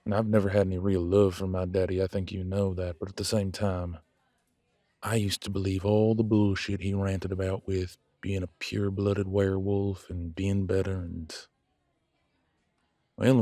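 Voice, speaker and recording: A man playing a proud, blunt werewolf in an audio drama, speaking haltingly as he grudgingly makes peace with a rival. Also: low voice, flat delivery, dark tone, no room sound, lightly acted. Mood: blunt, confessional. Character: gruff proud male werewolf, reluctantly making peace with rival. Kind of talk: scripted audio roleplay drama.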